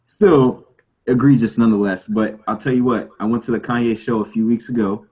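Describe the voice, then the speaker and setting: A man with a husky voice chatting casually and jokingly as a podcast host. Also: medium pitch, steady tone, fairly quick, warm tone, poor audio. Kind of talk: casual comedic group chat.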